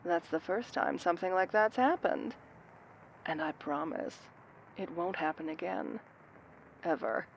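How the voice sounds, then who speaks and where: A woman's voice: fairly low pitch, lively intonation, unhurried, gravelly, long pauses, a little hiss or hum, rough recording. A woman playing a flirty, dangerous cat burglar supervillain in an audio roleplay, teasing and sardonic with amused asides. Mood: teasing menace. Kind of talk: scripted audio roleplay acting addressed to the listener.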